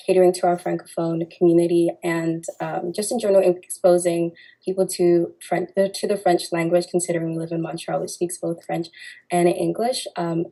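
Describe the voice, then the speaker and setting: A woman's voice: fairly low pitch, mellow, poor audio. A young woman answering as a candidate in a student debate, hesitant and full of fillers. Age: young adult.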